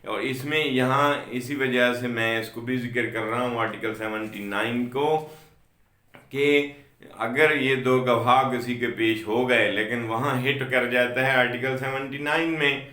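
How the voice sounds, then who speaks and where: A man's voice: medium pitch, fairly even intonation, a little slow, sharp tone. A man with a Pakistani accent giving an explanatory law lecture in a didactic way, stressing and repeating key points, with English legal terms mixed in. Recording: roomy, echoing sound.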